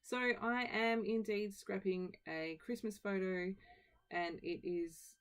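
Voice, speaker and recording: A woman, hoarse from a cold, chatting casually through a voiceover for her craft video with rambling, self-deprecating asides. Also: mid-range voice, steady tone, takes it slowly. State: croaky from a cold. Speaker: scrapbooking channel host. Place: home, recorded as a voiceover.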